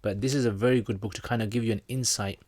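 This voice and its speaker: Brief: male livestream host and teacher, low-pitched, dry close sound, jokey and rambling. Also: fairly even intonation, fast, darkish tone, no room sound. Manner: jokey, rambling, with slangy asides. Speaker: Islamic teacher hosting a livestream Q&A. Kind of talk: casual livestream chat, answering viewer comments.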